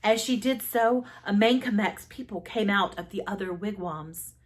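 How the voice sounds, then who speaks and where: A woman reading a children's story aloud as expressive narration, with deliberate dramatic emphasis and pauses. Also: mid-range voice. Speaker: storybook reader.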